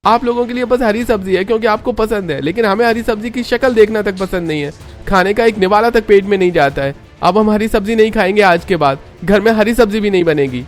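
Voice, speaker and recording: A defiant grown son from a cartoon family drama, voiced by a man, with a fairly high voice. He speaks in an insistent, pleading way against soft background music. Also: fast talker, lightly acted. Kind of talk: cartoon voice acting.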